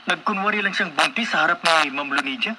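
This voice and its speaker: An actor playing a gossipy, sympathetic young buddy in a radio drama, exclamatory and colloquial. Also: male voice, fairly high pitch, a little fast, lightly acted. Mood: astonished, sympathetic. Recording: noisy radio broadcast.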